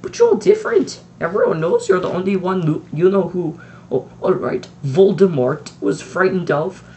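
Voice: mid-range voice, big pitch swings, normal speed, warm tone, a little hiss or hum, voice pushed up. A reader voicing a strict older woman professor who speaks in a clipped, impatient way. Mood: pressing. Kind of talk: audiobook reading.

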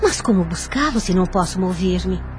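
An actress playing a young, ailing, grieving mother in a radio soap opera, speaking formally with drawn-out, devout sorrow. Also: fairly low pitch, animated, natural voice. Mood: desperate. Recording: radio drama with music underneath. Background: background music.

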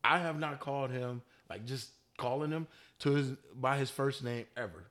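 A man in his forties co-hosting a casual podcast, talking in an easygoing, animated way with a slightly congested voice. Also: medium pitch, moderate pace. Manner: animated, joking, breaks into laughter. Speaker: podcast co-host. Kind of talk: casual chat.

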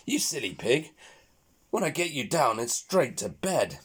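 A man's voice: mid-range voice, big pitch swings, unhurried, a bit bright, slightly rough, pitched above the performer's own voice, full character voice. A man voicing a flustered, blustering father pig in a storybook.

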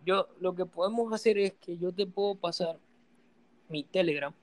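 A man's voice: sits high, moderate pace. A man, a guest in a casual podcast chat, who hesitates and repeats words while he talks.

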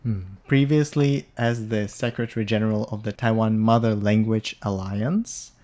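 A man hosting an audio podcast, asking an expert guest measured, formal interview questions. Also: fairly low pitch, steady tone, a little mellow. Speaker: podcast host.

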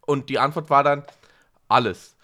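Male podcast co-host, medium pitch, loose chatty delivery, self-mocking jokes and playful overstatement. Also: normal speed, fairly bright tone. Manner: self-ironic, joking, prone to exaggeration. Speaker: podcast co-host, social worker and workshop leader. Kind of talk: casual conversational podcast chat.

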